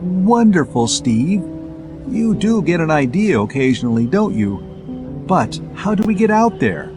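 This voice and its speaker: Guy doing a plucky girl in a pulp sci-fi story, teasing, lively pitch, music under it. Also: measured pace. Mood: curious. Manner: questioning, teasing banter. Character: plucky, teasing, devoted young woman space traveler. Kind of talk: dramatized pulp science fiction audiobook.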